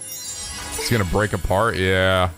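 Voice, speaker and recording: A man's voice: deep voice, steady tone, slow pace. A man hosting a let's play, giving casual reaction commentary in a sweary, incredulous, jokey way. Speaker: let's play video host. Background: game cutscene music.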